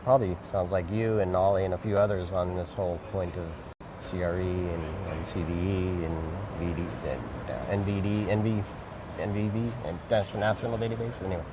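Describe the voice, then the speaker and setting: A man hosts a casual interview in a fairly low voice. He is informal and conversational, repeating words as he agrees or moves to a question. Clear urban noise sits behind him. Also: fairly even intonation, a little fast, few pauses. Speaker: interviewer, host. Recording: noisy urban ambience behind voice.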